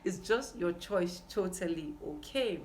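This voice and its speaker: A woman's voice: fairly low pitch, expressive, unhurried, a little hiss or hum. A young Nigerian woman chatting casually as a vlogger, emphatic and repeating phrases for stress. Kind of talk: casual chat to camera.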